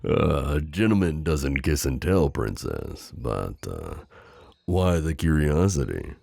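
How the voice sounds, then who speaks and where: Possessive knight and mentor, acted by a man: courtly, gently hesitant, gravelly, recorded very dry and close. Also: rumbling low, animated, slow, dry recording, exaggerated voice. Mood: tender, teasing. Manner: formal, courtly, tender, hesitant. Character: protective, possessive gallant knight, mentor to a princess. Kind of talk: intimate roleplay audio acting.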